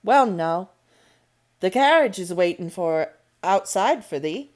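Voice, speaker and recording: A woman reader takes on a burly railway station master from a storybook. He is rough but good-natured, and speaks in an attempted broad Yorkshire accent, at an unhurried pace. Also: lowish voice, animated, a little mellow.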